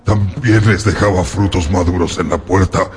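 An actor playing a lonely, sorrowful monster who tells his story in a brooding, halting way for a radio drama. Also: low-pitched, steady tone, natural voice.

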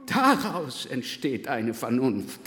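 A man's voice: medium pitch, wildly swooping pitch, takes it slowly, fairly bright tone, heavily acted. A male actor playing a pedantic, pompous old Prussian philosopher in a radio play, declaiming in clipped, self-important phrases. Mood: pompous, self-satisfied. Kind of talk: theatrical radio play acting. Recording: echoey hall, long reverb.

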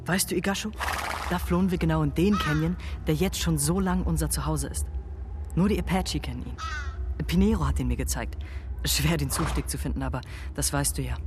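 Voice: mid-range voice, fairly quick, natural voice. A performer playing an adventurous Apache raider who vividly recounts a youth of raids in a German radio drama. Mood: proud, reminiscing. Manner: reminiscing, vivid. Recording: loud guitar music behind.

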